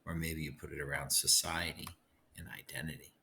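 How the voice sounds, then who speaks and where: A man with a very low voice leads a recorded read-aloud lesson. He speaks as a teacher, addressing his students directly in an instructional way. There is faint noise behind him. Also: flat delivery, brisk, pushed and bright.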